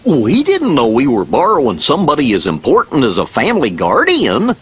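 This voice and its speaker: A man's voice: medium pitch, huge pitch swings, normal speed, fairly bright tone, slight background noise. A man voicing a goofy, good-natured, folksy cartoon dog knight in a video game. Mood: mild, observant. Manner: folksy, amiable. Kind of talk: animated video game voice acting.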